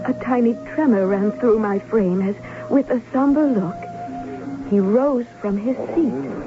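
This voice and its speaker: An actress playing a romantic, naive young bride with wounded, breathless earnestness in an old radio drama. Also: female voice, a little high, measured pace. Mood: wistful, enamored. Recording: tinny old radio with music.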